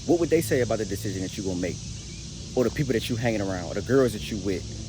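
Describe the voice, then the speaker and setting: A young American man with a lowish voice talks directly to his audience in a casual motivational style. He is slangy and emphatic, often repeating phrases to drive them home. Clear background noise runs behind his voice. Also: expressive, very fast. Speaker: motivational vlogger.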